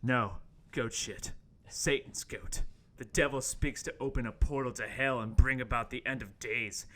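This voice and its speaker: A man's voice: mid-range voice, steady tone, moderate pace, a bit bright, close to an everyday voice. A man acting a theatrical, portentous intern hero who narrates a horror-comedy in a radio play table read. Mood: urgent, resolute.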